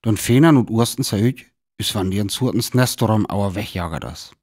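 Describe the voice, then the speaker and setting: An actor with a North Frisian accent playing a weary lone survivor of an invasion in a radio play, telling it in sparse, dramatic phrases. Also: male voice, lowish voice, fairly quick, a little mellow, no echo, clean studio sound, close to an everyday voice. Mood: weary, wary. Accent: North Frisian (Föhr).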